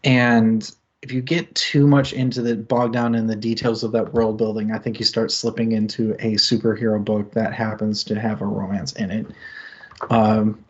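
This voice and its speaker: A man's voice: lowish voice, level tone, normal speed. A man co-hosting a book club stream, talking casually with plenty of fillers, restarts and chuckles. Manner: rambling, lots of fillers and restarts, chuckles often. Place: home setup, streamed discussion. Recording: slightly muffled lo-fi stream audio.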